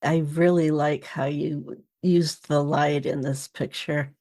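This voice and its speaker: A woman's voice: low voice, steady tone, slow, warm tone. A woman hosting a podcast, interviewing a guest in a casual, chatty style.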